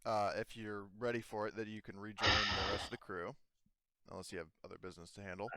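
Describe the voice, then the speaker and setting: A man running a tabletop roleplaying game, narrating off the cuff in a casual, halting way. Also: on the low side, level tone, bright, forceful tone. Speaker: game master.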